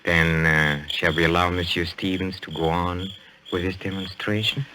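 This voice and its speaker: An actor playing a suave, menacing spirit medium, theatrical and courtly, in an old radio thriller. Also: male voice, low-pitched, little pitch movement, normal speed, lightly acted. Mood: coolly persuasive. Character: suave, menacing foreign male spirit medium, mockingly polite. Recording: noisy old radio drama recording.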